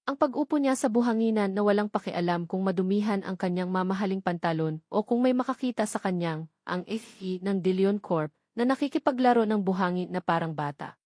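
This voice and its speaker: Female audiobook narrator for dramatic fiction: medium pitch, measured phrase-by-phrase delivery, very dry sound. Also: expressive, darkish tone, no room sound. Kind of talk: scripted dramatic story narration.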